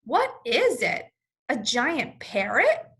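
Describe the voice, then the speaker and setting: A woman's voice: sits high, wildly swooping pitch, takes it slowly, fairly bright tone, full character voice. A woman reading aloud as a puzzled, easygoing male policeman in a children's storybook.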